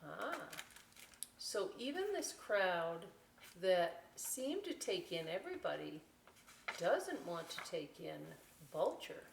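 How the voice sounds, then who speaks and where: A woman reading a picture book aloud as a story time host, expressive, with chatty questioning asides to young listeners. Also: lowish voice, slow pace, gravelly, distant mic, slight background noise. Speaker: library story time reader. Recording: echoey room, away from the mic.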